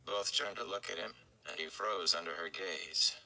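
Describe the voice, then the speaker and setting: A man's voice: low-pitched, steady tone, moderate pace, vocal fry, bright, forceful tone, clean tone, poor audio. A man, around his thirties, giving a dramatic reading of a fantasy novel. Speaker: audiobook-style reader. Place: indoor room. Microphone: phone at arm's length.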